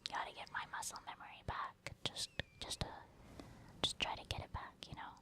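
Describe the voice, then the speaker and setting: A woman giving soft ASMR commentary over video game play, informal and hesitant as she thinks aloud. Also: normal speed. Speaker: gaming ASMR video host.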